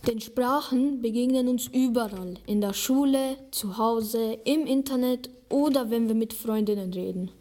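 Young woman, student radio host, scripted reading, higher voice. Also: measured pace, few pauses, mellow. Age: teens.

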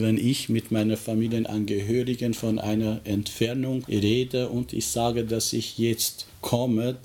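A middle-aged man from Kosovo, a teacher, speaks German with an Albanian accent and often pauses to search for words. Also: on the low side, a little mellow. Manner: slow, halting, searching for words. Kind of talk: interview answers.

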